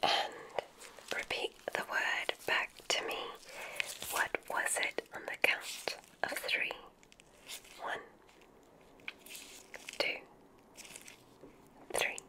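Woman as a softly whispering gentle witch examiner. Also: full character voice, rough recording. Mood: soothing. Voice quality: breathy whisper. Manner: slow, careful, soothing instructions. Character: gentle, reassuring female witch examiner at magic school. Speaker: ASMR roleplay performer. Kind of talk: whispered ASMR medical roleplay.

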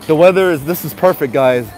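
Stuffy-nosed male vlogger, chatty and relaxed, speaking into a handheld phone on a busy night street with faint music. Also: on the high side, rough recording. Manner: chatty, repeats greetings, talks to viewers. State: stuffy nose. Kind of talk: casual live-stream walking commentary. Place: city sidewalk outdoors at night. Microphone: handheld phone camera.

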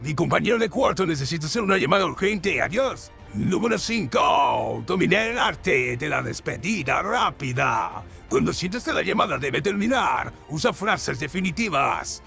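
A man's voice: on the high side, big pitch swings, normal speed, creaky, few pauses, bright, forceful tone, soft background music. A man reading a scripted mock survival guide in an emphatic, sarcastic, mock-dramatic way. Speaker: narrator of a comedy survival-tips show.

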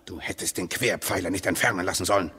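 An actor in a radio play voicing a dryly ironic, resigned husband, speaking in measured, formal phrases with a husky, gravelly voice. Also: male voice, deep voice, fairly even intonation, sharp tone, exaggerated voice. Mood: sardonic, needling. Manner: measured, dry, sardonic, formal wording. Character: bitterly ironic, resigned husband trapped underground with his wife.

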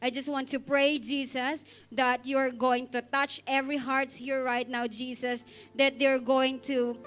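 A woman's voice: sits high, flat delivery, a little slow, fairly bright tone. A young woman with a Filipino accent giving an earnest devotional talk and prayer to a congregation on a handheld microphone. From Philippines. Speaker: church speaker leading offering. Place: indoor church stage.

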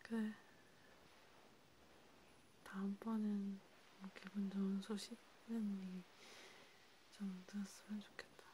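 Over clearly audible ambient noise, a woman whispers a soft ASMR roleplay. Her delivery is slow and gentle, with little movement in pitch. She leaves pauses and murmurs small acknowledgements to a single listener. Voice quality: whispery, breathy soft speech. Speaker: ASMR creator, host. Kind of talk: ASMR hand-massage roleplay, soft one-sided chat. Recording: clear steady background hiss, ambient noise.

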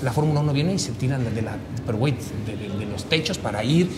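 A man's voice: mid-range voice, quick pace. A man in his forties from Mexico, talking casually at a table with blunt, profane slang, on a lapel mic. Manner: blunt and profane, heavy slang, gestures a lot. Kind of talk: casual chat. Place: sitting at a table in a small room indoors. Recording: roomy with steady background noise.

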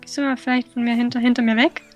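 A woman's voice: a little high, level tone, fast, soft background music. A young woman chatting playfully and teasingly as co-host of a gaming video. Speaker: Let's Play co-host. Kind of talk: casual joking gameplay chat.

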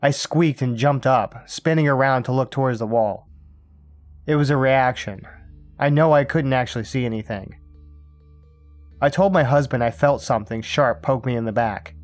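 A man reading a first-person scary camping story aloud in a calm, even storytelling manner. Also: medium pitch, moderate pace, faint music behind. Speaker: narrator. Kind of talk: scripted horror story narration.

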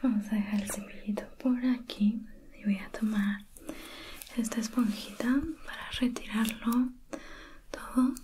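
A woman speaking in a soft, breathy whisper, gently and attentively guiding a listener through an ASMR spa roleplay as a soothing facial therapist. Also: a little high, steady tone, measured pace.

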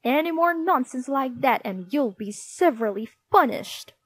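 A woman's voice: high-pitched, expressive, normal speed, no echo, pitched above the performer's own voice. A woman voicing a stern, furious games mistress who barks orders in a children's audiobook. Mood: commanding. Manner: barking orders.

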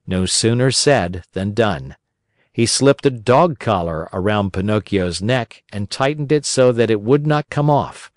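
A man's voice: on the low side, no room sound, clean studio sound. A man narrating a children's story as a scripted audiobook.

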